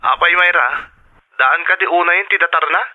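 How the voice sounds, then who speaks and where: An actor playing a tired, prudent working husband abroad in an Ilocano radio drama, heard through a telephone filter. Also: male voice, a little high, normal speed. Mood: mildly reproving. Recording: thin, narrow telephone-like sound.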